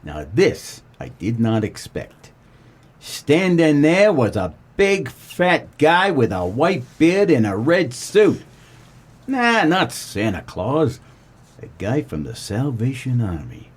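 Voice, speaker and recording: An actor playing a wry, world-weary 1940s private eye, narrating a comedic noir radio play. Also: male voice, medium pitch, takes it slowly, breathy edge, exaggerated voice. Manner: wry, deadpan, colloquial.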